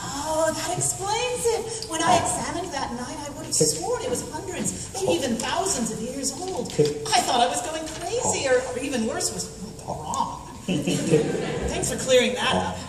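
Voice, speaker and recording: A woman playing a plucky, cheeky young inventor heroine in a comic radio play, flippant and deadpan in her cheek. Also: high voice, measured pace. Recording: echoey hall, far mic, noisy room.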